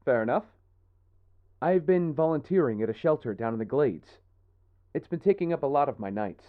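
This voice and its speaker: An actor playing a wealthy young heir, polite, smooth-talking and guarded, in audio drama dialogue. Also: male voice, mid-range voice, lively intonation, normal speed, no echo, phone-quality sound, natural voice. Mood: wry, guarded.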